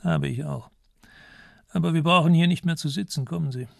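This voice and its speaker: A man playing a courtly, secretive old ex-intelligence officer in a radio crime drama, gravelly and formal, choosing his words with dignity. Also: on the high side, a little fast, fairly bright tone, dry recording, clean studio sound. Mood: confiding, grave. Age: older.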